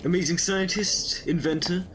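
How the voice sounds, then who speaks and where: A man plays an eccentric time traveller in an audio drama. His voice sits a little high for a man and turns whimsical phrases with urgency. A faint noise of ambience lies behind him. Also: steady tone, moderate pace, fairly bright tone. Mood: brisk, purposeful.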